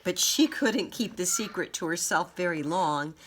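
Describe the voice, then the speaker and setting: Children's story narrator, woman, bright clear-cut tone, lively melodic range. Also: on the low side, normal speed, a little hiss or hum. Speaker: storybook reader.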